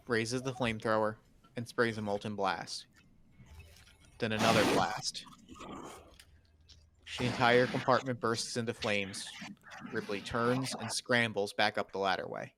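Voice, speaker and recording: A man's voice: medium pitch, a bit bright, a little hiss or hum. A man narrating screenplay stage directions in dramatic, clipped phrases for a table read.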